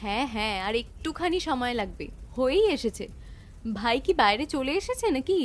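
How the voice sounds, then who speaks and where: A woman's voice: high-pitched, very animated, moderate pace, slight background noise. A woman playing a doting, firm housewife and sister-in-law in a cartoon story. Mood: irritated, firm. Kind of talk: cartoon moral-story dialogue.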